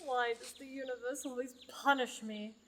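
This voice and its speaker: A woman's voice: high-pitched, fairly even intonation, moderate pace, fairly bright tone, slight background noise. A woman playing a wry, exasperated, put-upon companion in a radio play. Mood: exasperated, alarmed.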